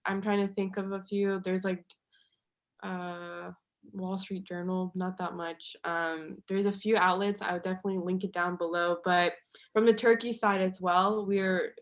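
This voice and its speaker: A woman's voice: lowish voice, level tone, normal speed. A young woman, a university student, speaking in a casual, rambling way on a panel video call. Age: young adult. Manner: chatty, rambling, hedging.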